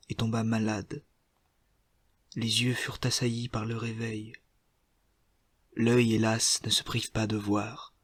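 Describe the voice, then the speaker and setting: A man reciting literary poetry in a solemn, measured manner, setting short phrases apart with deliberate pauses. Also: lowish voice, sharp tone, husky, no room sound. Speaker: poetry reader.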